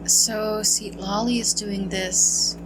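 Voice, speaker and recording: A woman's voice: medium pitch, fairly even intonation, slow. A woman doing casual, playful gameplay commentary, reacting and reading lines aloud. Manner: giggly, playful. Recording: noticeable background noise.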